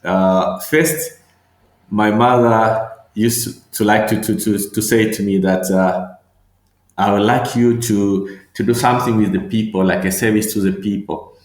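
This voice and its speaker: A middle-aged man from Tanzania speaks in a low voice, taking his time and searching for words between fillers. He is answering interview questions, and his voice rings in an echoey room. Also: steady tone. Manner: slow and thoughtful, with frequent fillers and repeated words. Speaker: guest, regional manager and medical doctor.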